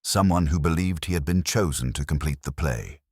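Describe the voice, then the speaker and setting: A man voicing a keen, brooding master detective in an audio story, explaining in a deliberate, theatrical manner. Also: a bass voice, a little mellow, slightly rough, dry recording, studio quality. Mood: dramatic, assured.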